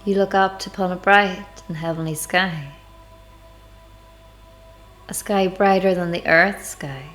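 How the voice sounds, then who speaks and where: A woman leading a devotional guided meditation, speaking in measured, deliberate phrases with pauses. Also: lowish voice, steady tone, a bit bright. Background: background music.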